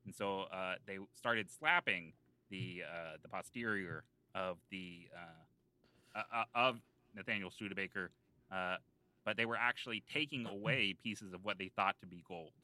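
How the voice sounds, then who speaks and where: A man's voice: sits low, measured pace, pushed and bright, no echo, exaggerated voice. A man playing a self-serious island local who explains town lore in long, hesitant, mock-scholarly rambles on an improvised comedy podcast. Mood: earnest, rambling.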